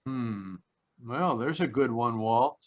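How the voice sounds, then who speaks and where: An actor playing a flippant, wry ex-cop private detective in a 1940s-style radio play. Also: male voice, medium pitch, lively intonation, takes it slowly. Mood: dry, probing. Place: remote live performance over video call. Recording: dull, lo-fi video call audio.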